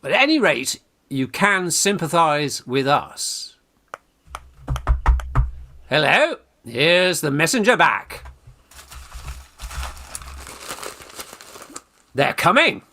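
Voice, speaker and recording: An actor playing a breezy, bossy young English student gentleman in a Victorian radio farce, exclamatory and eager. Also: on the high side, big pitch swings, takes it slowly, pauses often, a little hiss or hum, exaggerated voice. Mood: bossy, excited. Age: young adult. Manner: commanding, exclamatory, slightly stumbling. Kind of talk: radio play farce acting.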